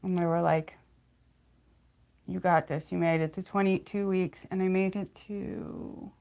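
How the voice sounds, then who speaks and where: Soft-spoken woman chatting with many fillers. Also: on the low side, fairly even intonation, gravelly. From California, United States. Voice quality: slight lisp. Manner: halting, many fillers and restarts. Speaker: livestream host. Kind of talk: casual livestream chat.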